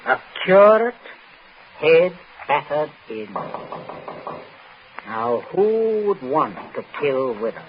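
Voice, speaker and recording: Noisy vintage radio thriller: calculating father, domineering, very animated delivery. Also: fairly high pitch, takes it slowly, pauses often. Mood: cold, calculating. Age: middle-aged. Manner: clipped, domineering interrogation. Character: stern, calculating middle-aged father questioning his family. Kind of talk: 1940s radio thriller acting. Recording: muffled, noisy 1940s radio broadcast.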